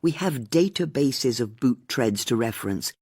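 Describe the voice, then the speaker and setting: Audiobook crime role: weary, rule-bound female detective acted by a narrator, firm, emphatic, slightly husky. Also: medium pitch, lively intonation, unhurried, a little mellow, no echo, clean studio sound. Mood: exasperated. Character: exasperated, firm, by-the-book policewoman.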